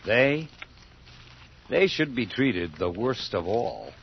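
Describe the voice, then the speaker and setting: An actor playing a polite, secretly sinister farmhand in a radio drama, with a terse, deferential and oddly intense way of talking. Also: male voice, medium pitch, big pitch swings, slow pace, creaky, heavily acted. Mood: sinister, earnest. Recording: dull, noisy radio drama recording.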